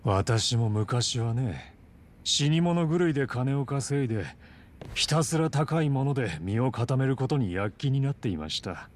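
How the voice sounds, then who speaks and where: A man acting a composed crime boss in a Japanese game dub, courteous and formal in measured, condescending phrases. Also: mid-range voice, expressive, natural voice. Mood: reflective, disdainful.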